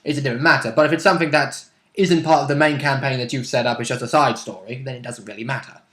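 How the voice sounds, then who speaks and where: A man's voice: mid-range voice, fairly even intonation, fast talker, a bit bright. A man chatting casually as a player in a tabletop role-playing game. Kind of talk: casual chat. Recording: echoey, roomy sound.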